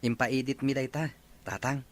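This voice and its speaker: A man's voice: mid-range voice, fairly even intonation, moderate pace, close to an everyday voice. A man playing a mild, agreeable young husband and son in an audio drama, with an Ilocano accent. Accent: Ilocano Filipino.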